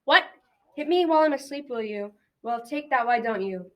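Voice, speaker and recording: A woman's voice: on the high side, expressive, normal speed, slight background noise, natural voice. A young teenage girl playing an ugly, bossy mother giant in a school radio drama, snappish and scolding. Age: young teen.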